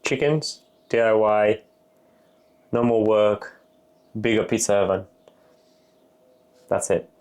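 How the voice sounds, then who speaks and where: A young man with a Bulgarian accent, casually explaining as he cooks outdoors in a garden, relaxed and matter-of-fact. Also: lowish voice, slow, long pauses, smooth, dry recording. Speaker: vlogger. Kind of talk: casual explaining while cooking.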